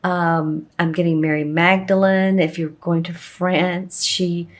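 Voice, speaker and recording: A woman giving a casual, rambling tarot reading to her viewers, with a slightly hoarse voice and plenty of fillers and restarts. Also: on the low side, little pitch movement, slow pace. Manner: meandering, with frequent fillers and restarts. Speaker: tarot reader.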